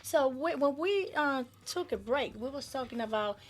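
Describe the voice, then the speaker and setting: A woman's voice: sits high, expressive, moderate pace. Earnest middle-aged woman radio host. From Dominican Republic. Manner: earnest, broken English phrasing. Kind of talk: radio talk show chat. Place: radio studio.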